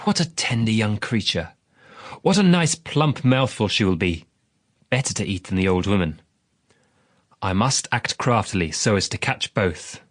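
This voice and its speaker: A man's voice: lowish voice, expressive, moderate pace. A man voicing a wicked wolf in a storybook, sly, coaxing and falsely polite. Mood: scheming.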